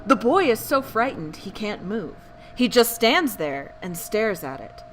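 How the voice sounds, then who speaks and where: A woman's voice: mid-range voice, sing-song, measured pace, slight background noise, rough recording. A woman narrating scary tales as a bedtime storyteller, theatrical and full of suspense. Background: background music.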